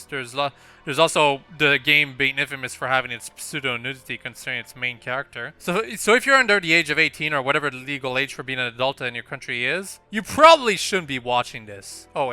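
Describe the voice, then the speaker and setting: A man's voice: mid-range voice, bright, forceful tone, clear, resonant tone. A man hosting a gameplay video with casual, unscripted commentary in a rambling, explanatory way. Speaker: gaming channel host.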